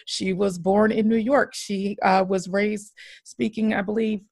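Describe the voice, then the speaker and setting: A woman in her forties talks with passion and weight, pressing her points through repetition. Her voice carries a gravelly fry, and the sound is close and dry. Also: medium pitch, level tone, unhurried, darkish tone, no echo. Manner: impassioned, emphatic repetition. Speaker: podcast host. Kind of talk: earnest podcast conversation.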